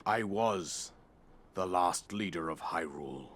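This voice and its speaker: A man's voice: deep voice, slow pace, fairly bright tone, a little hiss or hum. An actor playing a solemn old king in a game cutscene, speaking in a grave, stately way with archaic phrasing. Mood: grave, sorrowful. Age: older. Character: solemn old king, last leader of a fallen kingdom. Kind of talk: dramatic game cutscene acting.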